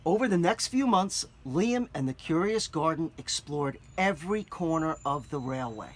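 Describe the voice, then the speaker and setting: Man, children's read-aloud, gentle explainer, breathy, lively pitch. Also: normal speed. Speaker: teacher reading aloud.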